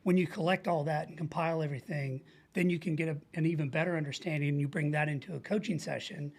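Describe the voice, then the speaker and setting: A man's voice: on the high side, steady tone, fast. A middle-aged American man speaking earnestly and informally as a coach being interviewed, often illustrating with examples. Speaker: podcast guest, leadership coach. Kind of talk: casual conversational interview.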